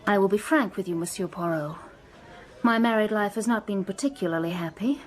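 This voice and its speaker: A woman's voice: mid-range voice, some chatter behind, close to an everyday voice, low-quality recording. Woman playing frank, composed, remorseful wife. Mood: candid, remorseful. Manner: frank, measured, formal. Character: cool, candid, remorseful unhappy wife confessing. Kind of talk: radio drama acting.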